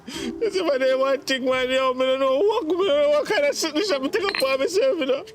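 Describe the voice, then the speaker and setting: A man with a Jamaican accent playing a distraught grown son in a domestic drama, pleading and reproachful, with halting, repetitive speech. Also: sits high, natural voice. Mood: tearful, disbelieving. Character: distraught grown son reproaching his mother over money. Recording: faint background noise.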